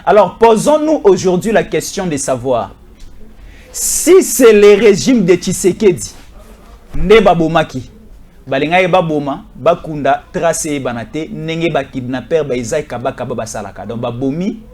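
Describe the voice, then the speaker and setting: A man's voice: a little high, darkish tone. A Congolese man discussing politics in an argumentative, questioning way, switching between languages. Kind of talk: political discussion mixing French and Lingala.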